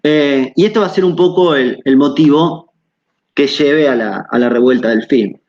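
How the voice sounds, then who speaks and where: A man's voice: medium pitch, moderate pace, darkish tone, highs cut off. A man giving an academic lecture over a video call, hesitant, with many fillers and tag questions.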